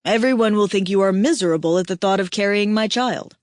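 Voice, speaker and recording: A woman voicing a big, tender alien male who is devoted to his mate, speaking earnestly and softly. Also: medium pitch, brisk, dry recording, studio quality, lightly acted. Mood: tender teasing.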